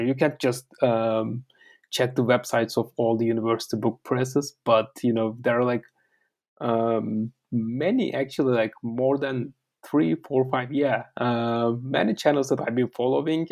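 Man with a Turkish accent, halting delivery. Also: medium pitch, darkish tone. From Turkey. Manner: halting, repeats words while searching for phrasing. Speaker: interview guest, author and academic. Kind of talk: conversational interview answers.

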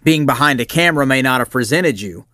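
A man's voice: mid-range voice, animated, fast talker, pushed and bright, dry recording, studio quality. A man hosting an interview, asking long rambling questions and backing up his guest. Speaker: podcast host. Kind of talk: casual interview.

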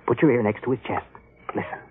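A man's voice: mid-range voice, fast, narrow, tinny audio, lightly acted. A man playing a smug, scheming, genial husband in an old-time radio drama, with a breezy theatrical manner. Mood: smug, pleased. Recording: band-limited old radio broadcast recording.

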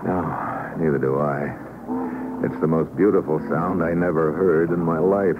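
A man's voice: very deep voice, fairly even intonation, normal speed. Through heavy noise on a dull old radio recording comes a tough, wisecracking agent. He talks in clipped, hard-boiled slang, urgent and sardonic, as in a fifties adventure serial. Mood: relieved. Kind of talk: 1950s radio adventure acting with first-person narration.

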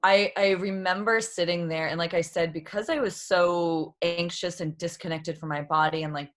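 Thirties woman, low voice, chatty guest on a podcast, reflective, laughs a lot. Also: steady tone, normal speed. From Boston. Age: 30s. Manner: reflective, open, laughs often. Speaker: guest, yoga therapist. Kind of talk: casual interview talk.

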